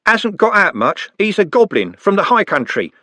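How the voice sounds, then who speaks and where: A man's voice: high voice, big pitch swings, normal speed, pushed and bright, no echo, voice pushed up, full character voice. A man voicing a brash, cheeky young male football fan who swears and banters. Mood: bantering.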